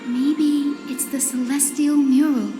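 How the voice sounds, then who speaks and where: A gentle young scholar-guide from a fantasy game, with a fairly high voice. The speech is measured and explanatory, carried on a long reverb with music behind it. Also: female voice, little pitch movement. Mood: thoughtful, explanatory. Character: gentle, knowledgeable young woman guiding fantasy adventurers. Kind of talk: video game voice acting.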